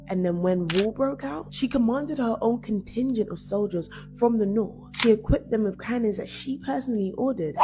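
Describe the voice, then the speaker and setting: A woman delivers scripted documentary narration as the presenter of a history series. Her voice sits at a middle pitch, and music plays clearly behind her. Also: rough recording. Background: music bed.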